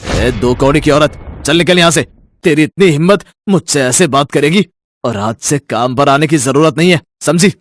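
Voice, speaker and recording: A man's voice: on the high side, normal speed, close to an everyday voice. A man voicing a lecherous, bullying rich village moneylender in a cartoon story, with a leering, domineering manner. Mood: angry, scornful. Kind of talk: Hindi cartoon story acting.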